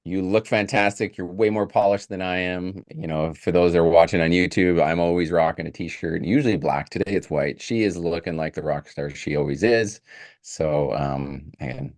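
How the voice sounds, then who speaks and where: A man's voice: low voice, moderate pace, a little mellow. A man from Canada hosting a podcast, upbeat and effusive in casual interview chat. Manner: upbeat, effusive, laughs easily. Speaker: podcast host.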